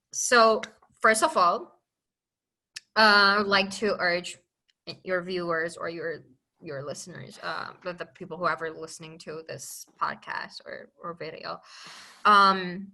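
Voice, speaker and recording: A young woman from China talks as a podcast guest, earnest and open. Her voice is bright and forceful, and she often breaks off and restarts a thought. It is casual interview talk, not a rehearsed speech. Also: on the low side, fairly even intonation, slow.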